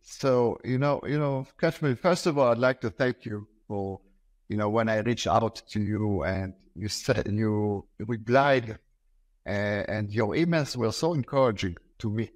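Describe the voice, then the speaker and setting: A man in his forties with an Israeli accent, a native Hebrew speaker, talking as an interview guest in a halting, sincere way. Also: on the low side, dry recording. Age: 40s. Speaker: podcast guest, psychotherapist. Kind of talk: conversational interview. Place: small office, video call.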